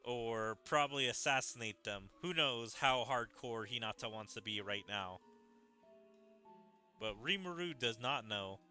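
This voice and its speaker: A man's voice: medium pitch, a little slow, pushed and bright, soft background music. A man giving casual gameplay commentary, thinking aloud about the story as he goes. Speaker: gaming streamer reading game dialogue aloud. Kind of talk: gameplay commentary with dramatic read-aloud of subtitles.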